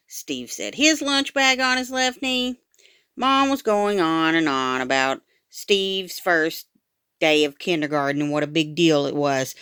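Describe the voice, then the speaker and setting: A woman reading a children's book aloud in an expressive, dramatic storytime style. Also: lowish voice, clear, resonant tone, no echo, studio quality. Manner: animated, dramatic. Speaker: storytime reader.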